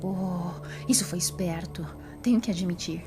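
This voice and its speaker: A woman's voice: lowish voice, normal speed, music in the background, close to an everyday voice. A woman playing an anxious but resolute time-travelling heroine in an audio drama. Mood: defiant, resolute.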